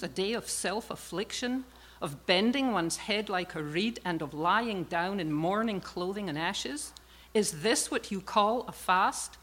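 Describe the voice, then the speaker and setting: A woman reading scripture aloud in church, slow and deliberate, with emphatic phrasing. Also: medium pitch, fairly bright tone. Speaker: scripture reader. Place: church sanctuary.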